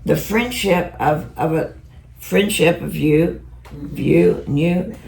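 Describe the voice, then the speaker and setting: Middle-aged woman with a low, rough voice. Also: slow, a little mellow. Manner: rambling, storytelling. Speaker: Bible study participant. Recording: echoey room, faint noise.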